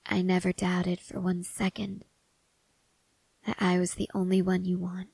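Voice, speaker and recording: A woman playing a stoic, devoted young woman soldier in an intimate ASMR roleplay, speaking softly and hesitantly, often half-whispering. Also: fairly low pitch, monotone, a little slow, vocal fry, husky, no room sound, exaggerated voice, low-quality recording. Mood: tender, quiet. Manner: hushed, hesitant, gently reserved.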